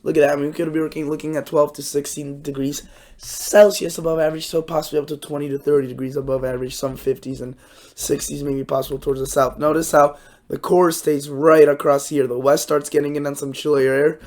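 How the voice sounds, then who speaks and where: A young man hosting a weather channel, hoarse and raspy from a cold, talking casually through his forecast with lots of fillers and restarts. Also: fairly high pitch, level tone, fast talker, a little mellow. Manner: rambling, many fillers and restarts.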